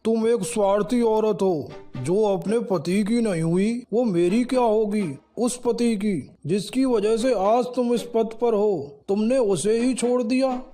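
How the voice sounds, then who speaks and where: Casting: male performer as betrayed senior officer, stern accusations, dark mellow timbre, music underneath, animated moral story. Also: sits high, slow, hardly any pauses. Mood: angry, accusing.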